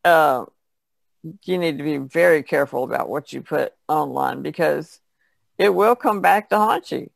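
Very creaky woman. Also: sits low, moderate pace, no echo, clean studio sound. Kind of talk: casual podcast discussion.

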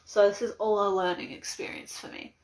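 A woman's voice: medium pitch, level tone, fairly quick, poor audio. Giggly young Australian woman. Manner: chatty, giggly, rambling with frequent laughs. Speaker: vlogger. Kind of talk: casual live-stream unboxing. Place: small cluttered bedroom, indoors. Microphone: phone camera propped on a mug.

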